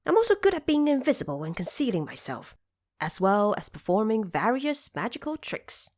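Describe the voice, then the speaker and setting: A woman's voice: medium pitch, sing-song, moderate pace, darkish tone, no echo. A woman voicing a boastful, cocky male monkey king with proud, declamatory delivery in a fantasy audio drama.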